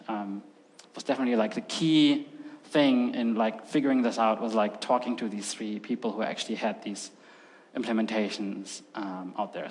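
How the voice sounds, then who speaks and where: A middle-aged man whose first language is Russian, giving a casual, filler-heavy technical talk at a conference. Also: medium pitch. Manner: casual and chatty, many fillers like "like" and "um". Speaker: conference speaker. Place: large conference hall. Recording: long hall reverb.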